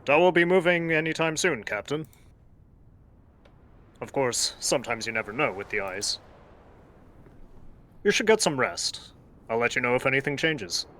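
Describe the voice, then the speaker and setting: A man voicing a practical sailor and father who speaks in a measured, earnest way, in a fan-voiced game playthrough. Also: mid-range voice, very animated, a bit bright. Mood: steady.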